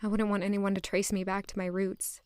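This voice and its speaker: A woman's voice: mid-range voice, little pitch movement, fairly quick, clean tone, no echo, close to an everyday voice. A woman playing an earnest young lady-in-waiting with a secret past in an audio drama. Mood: reflective. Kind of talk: audio drama dialogue and inner monologue.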